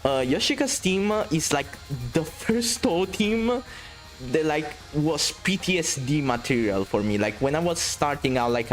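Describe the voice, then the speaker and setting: Excitable Italian-accented male game streamer. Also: medium pitch. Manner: excitable, swearing, reading chat aloud. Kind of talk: casual gameplay commentary.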